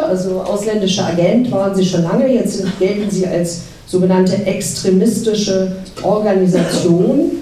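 A woman's voice: mid-range voice, warm tone. Woman moderator in an echoey hall. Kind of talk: panel moderation. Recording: echoey hall from far off, faint noise.

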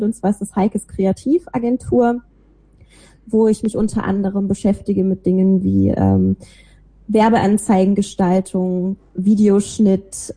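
A woman with a warm, mellow voice that is a little husky talks casually as an interview guest. She is chatty and self-deprecating as she tells about herself. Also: medium pitch. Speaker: interview guest, podcaster and small creative agency owner. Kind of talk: casual chat, telling about own life and work.

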